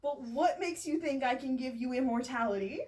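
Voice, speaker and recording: Woman as small, exasperated male angel, high-pitched and blunt, slightly off-mic, comic fantasy. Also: normal speed, recorded from a distance, noisy background, natural voice. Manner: irritated, blunt, incredulous. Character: exasperated little bald male angel, gruff and sarcastic. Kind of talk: comic fantasy fan fiction reading.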